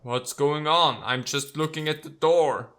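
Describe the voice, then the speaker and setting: Bright-voiced man reading a game aloud. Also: on the high side, fairly even intonation, a little slow, clean tone. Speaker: let's player. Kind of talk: reading visual novel text aloud with casual commentary.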